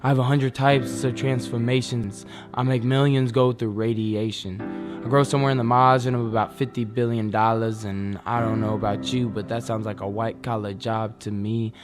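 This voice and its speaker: A young male poet delivers spoken word in a deliberate, dramatic rhythm, leaning hard on key phrases. His mid-range voice sits over prominent guitar music. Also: medium pitch. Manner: emphatic, deliberate. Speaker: slam poet. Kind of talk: performed slam poetry, rhythmic and dramatic.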